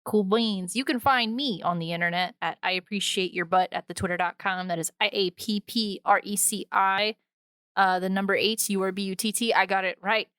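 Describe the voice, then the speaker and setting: Female podcast co-host, smooth clear voice, dry close sound, informal and jokey delivery of plugs. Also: medium pitch, steady tone, normal speed, a bit bright, no room sound. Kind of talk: casual podcast outro.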